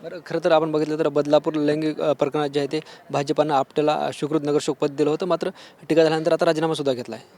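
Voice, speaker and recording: A man's voice: fairly high pitch, little pitch movement, quick pace, darkish tone. A man with a Maharashtrian Marathi accent putting questions as a TV news reporter. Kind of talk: interview questioning.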